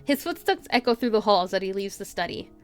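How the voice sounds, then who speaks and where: A woman's voice: medium pitch, animated, a little fast, soft background music. A woman livestreamer reading a visual novel aloud with playful, casual commentary. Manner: playful, giggly asides. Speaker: game livestreamer.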